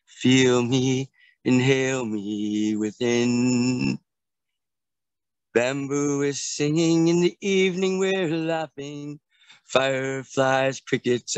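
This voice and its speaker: Slow, smooth-voiced man reciting lyrics. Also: medium pitch, no echo. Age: middle-aged. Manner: half-sung, lilting recitation. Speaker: performer at an open mic. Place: outdoors in a garden. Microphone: video call from a handheld device.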